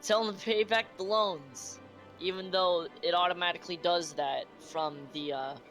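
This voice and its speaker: A speaker with a Midwestern accent chatting casually on a stream, hesitant and halting. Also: medium pitch, a bit bright, soft background music. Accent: Midwestern American. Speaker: stream co-host. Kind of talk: casual chat.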